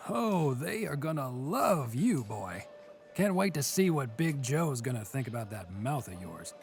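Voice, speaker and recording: A seasoned male inmate played by a man in a comedy audio drama, world-weary and dryly stern. He speaks at a medium pitch in a cautioning, exasperated way, with faint music behind him. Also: big pitch swings, measured pace, creaky. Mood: amused menace.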